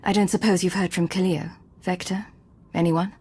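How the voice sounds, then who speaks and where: Low, husky, composed female agent. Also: steady tone, normal speed, darkish tone. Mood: dry, guarded. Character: composed, shrewd female secret agent and commander. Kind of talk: scripted video game acting.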